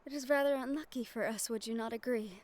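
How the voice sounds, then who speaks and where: A woman voicing a gentle, wistful young noblewoman in a romantic fantasy audio roleplay, speaking softly with formal, measured diction and tender pauses. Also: fairly high pitch, lightly acted. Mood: wistful, regretful.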